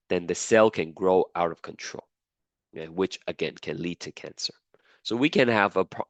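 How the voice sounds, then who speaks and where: A man's voice: deep voice, no echo, dull audio. A man teaching a biology class while sick and losing his voice, repeating key points and checking in with students. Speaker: biology lecturer. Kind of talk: classroom lecture.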